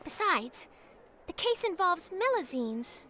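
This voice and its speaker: A woman's voice: extremely high pitch, big pitch swings, slow, background noise, exaggerated voice. A woman voicing a keen, perky young newspaper reporter in a video game, bouncy and confiding.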